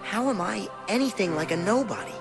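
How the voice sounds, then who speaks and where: Eager, expressive teenage boy hero. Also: high-pitched, fairly quick, vocal fry. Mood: challenging, puzzled. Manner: earnest, eager. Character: spirited, determined, good-hearted teenage boy hero. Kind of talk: animated video game voice acting. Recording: game music behind the voice.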